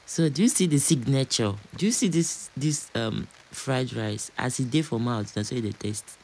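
A man's voice: mid-range voice, moderate pace, a little mellow, a little hiss or hum, poor audio. A man from Nigeria with a Nigerian accent, chatting casually and repeating phrases as a home cook narrating a cooking vlog.

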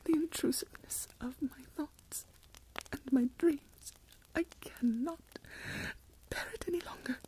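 A woman's voice: sits high, a little slow, long pauses, warm tone, low-quality recording. A woman playing a fretful, melodramatic older Regency mother in a whispered ASMR roleplay, self-pitying and full of dramatic sighs, close to the mic. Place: indoors, lying in bed.

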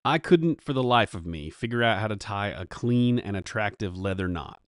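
A man's voice: lowish voice, expressive, moderate pace, no room sound, clean studio sound. A man, hosting a woodworking channel in an upbeat, jokey how-to manner from his home workshop. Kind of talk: scripted workshop narration.

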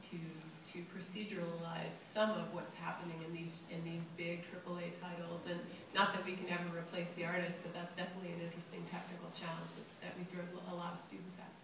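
A woman with a lowish, level voice keeps the panel moving in a brisk, businesslike way. She sounds far away in a large, echoing hall, with clear room noise. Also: flat delivery. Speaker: moderator and professor. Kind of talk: panel moderation.

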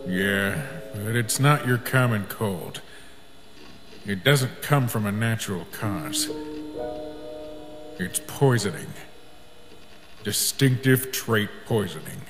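Man as a laconic cartoon skeleton, plainspoken, slow, long reverb, music behind. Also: fairly low pitch, lively intonation. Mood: grim. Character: easygoing, laconic male skeleton scientist. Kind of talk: animated comic dub acting.

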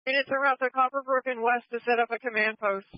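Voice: mid-range voice, steady tone, fast talker. A police dispatcher giving brief acknowledgements and relays over a police radio. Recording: muffled police scanner radio audio.

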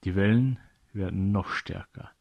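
A man's voice: sits low, a little slow, creaky, dull audio, heavy background noise. A man narrating a scripted children's audio story as a storyteller.

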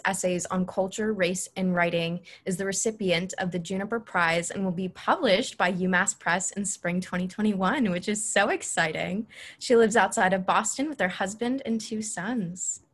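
A young woman moderator reads a biography aloud over a video call, with eager energy. Her voice sits at a middle pitch. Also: moderate pace. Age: young adult. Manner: enthusiastic, reading aloud. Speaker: student moderator. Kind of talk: reading a bio.

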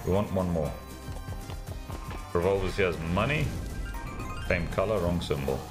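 Deep-voiced deadpan young man. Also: normal speed. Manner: dry, monotone, sweary. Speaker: host. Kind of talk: casual banter and slot commentary. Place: indoor streaming studio. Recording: slot game music behind.

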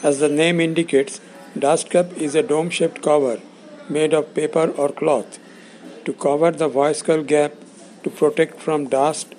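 A man giving a scripted instructional narration in a measured, explanatory way, in short phrases. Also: a little high, flat delivery, darkish tone, low-quality recording. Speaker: instructor presenting components.

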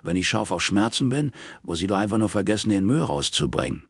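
A man's voice: fairly low pitch, big pitch swings, brisk, husky, no room sound, heavily acted. Man playing a laconic ex-army detective, dry close recording. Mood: wry. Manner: laconic, dryly joking. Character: wry, laconic Scottish male detective, ex-army.

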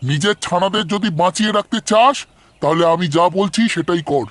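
A man's voice: high voice, moderate pace, fairly bright tone, dry recording. A man voicing a cruel, greedy kite bird in a cartoon fairy tale, gloating and bossing with menace. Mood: menacing, bossy.

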